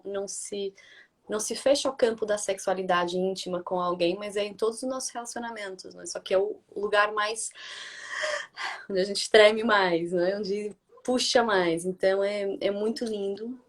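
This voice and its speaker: A woman with a European Portuguese accent hosts a casual live stream in a mid-range voice. She talks in a chatty, friendly way, tagging her phrases with quick confirmations. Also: mid-range voice. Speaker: host.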